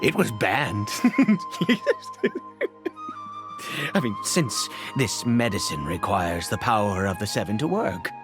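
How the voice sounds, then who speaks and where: A man voicing a weary, centuries-old cursed nobleman and father in a video game, speaking formally and haltingly, with grief. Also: on the low side, big pitch swings. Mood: earnest, confiding. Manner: halting, hesitant, sighing, formal wording. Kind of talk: dramatic video game voice acting. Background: background music.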